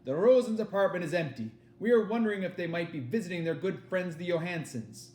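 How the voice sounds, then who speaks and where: A man's voice: high voice, expressive, fairly quick, darkish tone, echoey room, a little hiss or hum, voice pushed up. A man voicing a stern, older German officer, angry and sneering, in a menacing, insistent manner. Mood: coldly insistent. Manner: barking orders, menacing, insistent.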